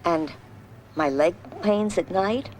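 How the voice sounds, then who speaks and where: A man's voice: high voice, slow. A man playing a relieved patient giving a plain testimonial in a scripted dramatization. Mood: earnest, relieved. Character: patient describing past dizziness and leg cramps, now relieved.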